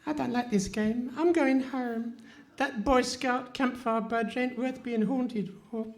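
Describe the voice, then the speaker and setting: A woman's voice: fairly high pitch, fairly even intonation, slow pace, a little mellow. Childlike scout simpleton, played by a woman, radio comedy. Dopey, stammering, dragging pace. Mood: frightened, dopey. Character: dim, childlike, timid scout simpleton, male. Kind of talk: Goon Show style radio comedy acting. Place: live stage performance in a hall.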